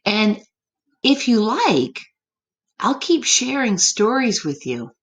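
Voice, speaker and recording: A woman reading a story aloud to young children, gentle and expressive, pausing to chat and ask them questions. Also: on the high side. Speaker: children's story reader, teacher. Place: room at home.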